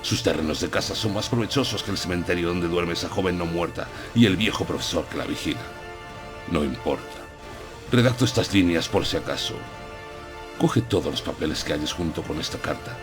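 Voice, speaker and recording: A low-pitched old professor played by a man speaks over prominent music. He is grave, courtly and resolute, a vampire hunter in a gothic horror audiobook, choosing his words with solemn deliberation. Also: close to an everyday voice.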